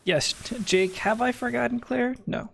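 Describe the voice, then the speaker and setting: A man's voice: extremely high pitch, moderate pace, gravelly, a bit bright, a little hiss or hum. A young man giving casual, sarcastic commentary while streaming a video game, often muttering asides.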